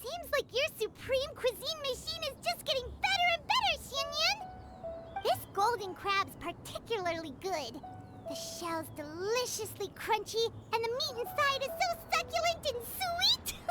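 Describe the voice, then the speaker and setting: An actress voicing a tiny, chirpy, gluttonous fairy girl in a video game, with an excitable, childlike delivery. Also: heavily acted. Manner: squeaky, excitable, childlike, speaks of herself in third person. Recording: loud music under the voice.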